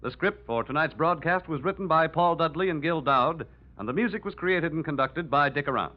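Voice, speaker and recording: A man's voice: a little high, lively intonation, fairly quick. An American man working as a radio announcer, reading scripted credits in 1940s broadcast style. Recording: muffled vintage radio, music behind.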